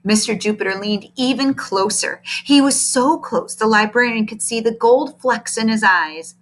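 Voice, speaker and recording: A woman's voice: fairly high pitch, moderate pace. Woman reading a children's story aloud. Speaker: storybook reader.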